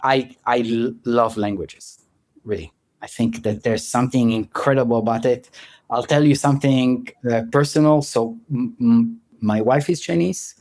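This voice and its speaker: A man's voice: mid-range voice, normal speed, darkish tone. A man in his thirties, born in France and raised in Israel, answering interview questions directly, with many restarts and self-deprecating laughs. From born in France, grew up in Israel. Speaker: guest.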